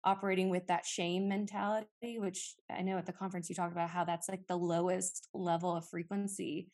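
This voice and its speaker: A woman's voice: fairly low pitch, flat delivery, brisk, darkish tone. A woman co-hosting a podcast, chatting in a rambling, personal way with lots of fillers. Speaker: podcast co-host. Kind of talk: casual podcast chat.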